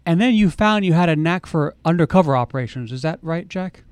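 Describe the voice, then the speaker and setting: A man's voice: on the high side, animated, fairly quick, clean tone, no room sound. Male radio host, dry close sound. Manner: polite, hesitant with fillers. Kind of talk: interview hosting.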